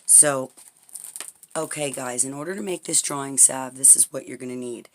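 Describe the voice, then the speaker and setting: Brief: raspy-voiced woman, home tutorial host, chatty and rambling, filmed over a tabletop. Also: very deep voice, moderate pace, a little hiss or hum. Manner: chatty, rambling, frequent "okay?" check-ins. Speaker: herbal salve maker demonstrating a recipe. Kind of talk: casual how-to tutorial. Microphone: camera pointed at the table, speaker off-frame.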